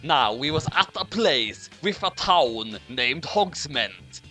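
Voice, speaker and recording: Animated man reading an absurd story. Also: fairly high pitch, takes it slowly, soft background music. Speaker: narrator reading a fan story aloud. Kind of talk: dramatic comedic reading of absurd fiction.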